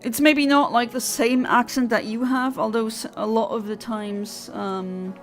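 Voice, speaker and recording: A woman's voice: on the high side, steady tone, unhurried, soft background music. A woman live-streaming a game with a non-native English accent, chatting casually with viewers and often laughing at her own mistakes, with lots of fillers and restarts. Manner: chatty and self-deprecating, many fillers and restarts. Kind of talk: casual live-stream chat while playing and answering chat.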